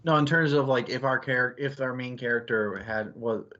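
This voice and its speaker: A man's voice: medium pitch, normal speed, pushed and bright, muffled recording. A man in a casual book discussion, rambling analytically and qualifying his points as he goes. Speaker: book club stream host.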